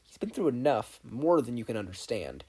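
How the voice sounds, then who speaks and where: Young warrior woman for audio fan fiction, acted by a narrator: mellow, firm, reassuring, fiercely protective. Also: medium pitch, sing-song, normal speed, husky. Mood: stern warning.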